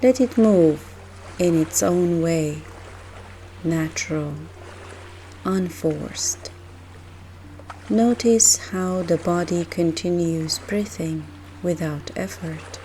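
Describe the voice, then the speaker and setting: A woman leading a guided meditation in a soft, gentle, measured way, leaving long pauses between phrases. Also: lowish voice, soft background music.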